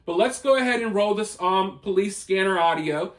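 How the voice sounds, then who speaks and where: High-pitched American man giving emphatic commentary. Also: moderate pace. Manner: emphatic, conversational, rhetorical. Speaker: online news commentator. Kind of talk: opinion commentary to viewers.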